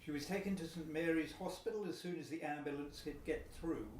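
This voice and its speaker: A British actor playing a polite, sober policeman with a formal, measured delivery in a radio play. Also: on the high side, lightly acted. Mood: grave, sympathetic. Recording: echoey, distant, noisy room.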